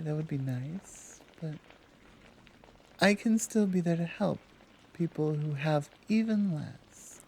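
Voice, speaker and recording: A woman voicing a gentle, teasing Amazon healer in a soft, intimate ASMR roleplay, speaking in a soothing, coy, deliberate way. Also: on the low side, gravelly, breathy edge, full character voice, rough recording. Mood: gentle, earnest.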